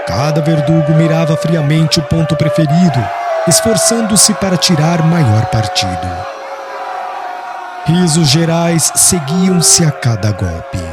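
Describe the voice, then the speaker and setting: Brazilian guy narrating an audiobook, solemn and dramatic, highish voice that moves a lot, music behind.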